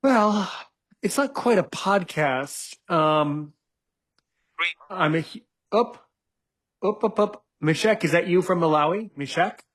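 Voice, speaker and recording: Higher-voiced man hosting a live show. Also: animated, slow pace, pauses often, fairly bright tone. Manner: repeats words and phrases. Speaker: show host. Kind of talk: casual live interview hosting.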